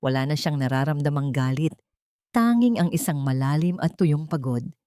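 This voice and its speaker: A woman's voice: deep voice, animated, moderate pace, mellow, clear, resonant tone, dry recording, clean studio sound. A woman with a Filipino accent giving a scripted, dramatic narration of a love story.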